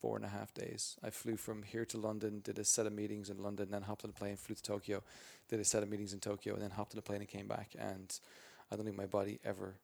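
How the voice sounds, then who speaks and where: A man with a booming voice answering interview questions as a spokesperson, energetic and rambling with many restarts. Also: fast talker, gravelly, few pauses. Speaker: game franchise spokesperson.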